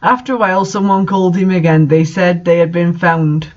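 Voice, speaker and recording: A man with a high voice reads amateur fiction aloud in a mocking way. He breaks off for incredulous, exasperated commentary. The recording is dull and lo-fi. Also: fairly even intonation, a little fast. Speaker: fan fiction reader and commentator. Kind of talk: mocking dramatic reading with commentary.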